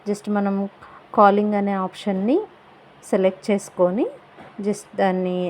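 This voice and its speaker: A woman's voice: mid-range voice, mellow, a little hiss or hum. A woman giving a casual product review voice-over, explaining things in simple, repeated steps. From India. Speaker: product review vlogger and parent.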